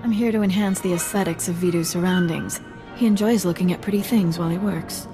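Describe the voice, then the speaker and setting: A flirtatious glamorous aide played by a woman speaks in a low register. She is coy and teasing, delivering polished video game dialogue over prominent music. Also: steady tone, brisk, close to an everyday voice. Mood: coy, poised. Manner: coy, teasing, smooth-talking. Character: flirtatious glamorous female aide to a crime boss. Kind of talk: scripted video game acting.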